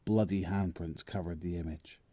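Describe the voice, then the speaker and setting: A man acting as the narrator of a zombie horror audio drama, telling it in a measured, descriptive way. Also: low voice, fairly even intonation, dry recording. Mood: grim, suspenseful. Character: male narrator of a grim zombie horror tale. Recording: loud music under the voice. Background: music.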